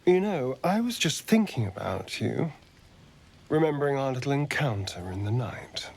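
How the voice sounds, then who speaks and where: An actor playing a flirtatious, refined vampire man in a video game, with a theatrical, teasing drawl and breathy asides. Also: medium pitch, sing-song, moderate pace, darkish tone, exaggerated voice. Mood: sly, musing. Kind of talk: video game companion dialogue.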